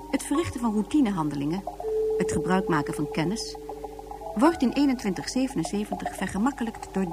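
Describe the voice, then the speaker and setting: A woman reading the narration of a radio play in a calm, measured way. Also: medium pitch.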